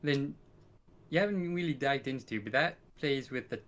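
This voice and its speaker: A man's voice: mid-range voice, normal speed. A man talking casually on a podcast about games as a co-host.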